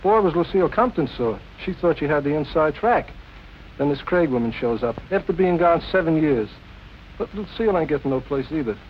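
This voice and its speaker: An actor playing a gossipy, deferential nightclub employee in 1940s film noir style. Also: male voice, on the high side, expressive, fairly quick, lightly acted. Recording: dull, noisy old film soundtrack.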